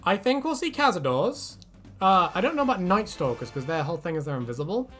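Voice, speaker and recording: A man's voice: high-pitched, sing-song, quick pace, a bit bright, soft background music. A man hosting a casual fan discussion, chatting in a rambling, sweary way. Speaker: video host.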